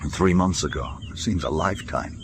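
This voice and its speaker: A man playing a weary American former prisoner in a radio drama, telling his memories in a measured, gentle way. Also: a bass voice. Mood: reflective. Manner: measured, reflective storytelling. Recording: clearly noisy background.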